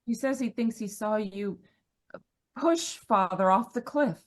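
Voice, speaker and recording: An actress playing an earnest, anxious young niece in an old-style radio mystery, pleading in manner. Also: female voice, mid-range voice, measured pace, lightly acted. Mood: probing. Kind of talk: 1940s radio mystery acting. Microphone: video call.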